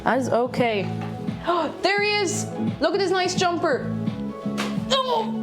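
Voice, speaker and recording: A young Irish woman from Tipperary, with a bit of Dublin in her accent, chatting casually with her live chat while she cooks, laughing often and greeting viewers in excited bursts. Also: extremely high pitch, takes it slowly. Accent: Irish, from Tipperary with some Dublin. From Ireland. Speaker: streamer. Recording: clear background stream music. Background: background music playing.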